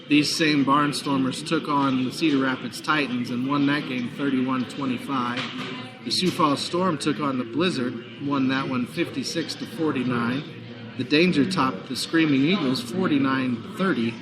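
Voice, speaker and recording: A man with a hoarse voice hosting a live sports talk show, chatty and promotional, from a busy bar. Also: mid-range voice. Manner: chatty, promotional, frequent fillers. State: hoarse, recovering lost voice. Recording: noisy bar crowd behind. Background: crowd chatter.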